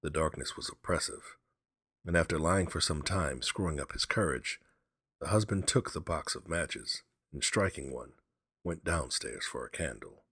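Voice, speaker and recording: Male story narrator, low-pitched, husky, very expressive, close dry sound, suspense reading. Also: normal speed, no echo. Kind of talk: dramatic scripted narration of a horror story.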